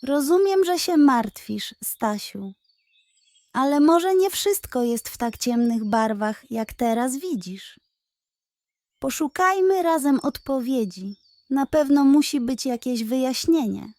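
A woman voicing a friendly young male hare in a children's bedtime story, animated, teasing but caring. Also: sits high, slow, no room sound, pitched above the performer's own voice, full character voice. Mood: reassuring.

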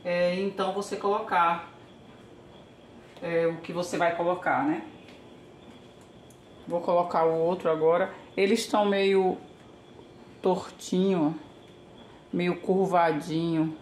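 An older woman from Brazil casually narrating a home how-to, chatty and thinking aloud while she works in her kitchen. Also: on the low side. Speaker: home vlogger. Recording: echoey kitchen, faint background hiss.

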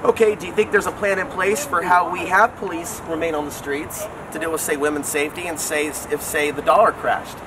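A man talks quickly and insistently about politics out on a city street at night. His voice sits a little high and his delivery is earnest and argumentative. Traffic noise is clear around him on the handheld camera recording. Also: steady tone, far from the mic. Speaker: city council candidate and local TV show host. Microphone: handheld camera a step or two away. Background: city street ambience and vehicles.

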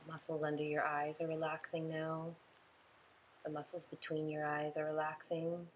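A woman leading a guided relaxation meditation in a soothing, unhurried way, giving instructions in short, spaced phrases. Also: low-pitched, completely flat delivery, slight background noise.

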